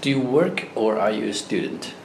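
A man's voice: lowish voice, very animated, normal speed. Man examining in an echoey room. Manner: brief prompts, frequent backchannel mm-hmm. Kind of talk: mock speaking-test interview. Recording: echoey room with clear noise.

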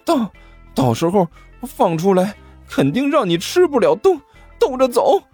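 A cheeky, cornered warrior of justice in a comic audio story. The whiny voice is very high and stutters through defiant retorts. It draws words out slowly with lively swings in pitch. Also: male voice, slightly rough, faint music behind, heavily acted. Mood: defiant threat.

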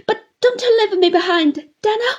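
A woman's voice: very slow, darkish tone, heavily acted. A woman doing a sobbing, clinging old woman. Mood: tearful pleading. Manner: high wailing pleas, breaking into sobs. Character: clinging, tearful older woman pleading desperately.

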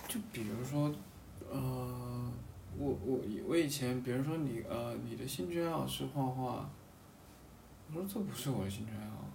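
A man chatting reflectively in a hesitant, halting way, often repeating himself as he searches for words. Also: medium pitch, vocal fry, a little mellow. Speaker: podcast guest, painter. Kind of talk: reflective casual chat. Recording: distant mic, echoey room, faint background noise.

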